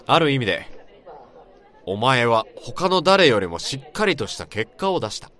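A man playing a blunt, teasing but caring old friend in a radio drama, speaking casually and roughly. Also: medium pitch, big pitch swings, normal speed, pushed and bright, a faint crowd. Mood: reassuring.

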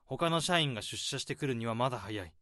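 An actor playing a calm, understated, overworked male office worker in a radio drama who also speaks his inner monologue. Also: medium pitch, fast talker, no echo, studio quality, natural voice. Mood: tense monologue.

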